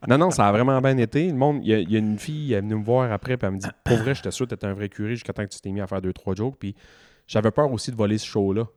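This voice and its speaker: A man's voice: fairly low pitch, racing, darkish tone, dry recording, studio quality. A man with a Québécois French accent chatting casually as a podcast co-host, peppering his talk with slang, filler and laughs. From Quebec. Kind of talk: casual chat.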